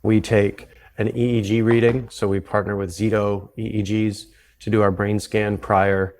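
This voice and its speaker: A man with a Canadian accent, a guest on an interview podcast, explaining his work in a relaxed way and often restarting his sentences. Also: fairly low pitch, normal speed. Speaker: guest, psychedelic retreat founder. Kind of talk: conversational interview.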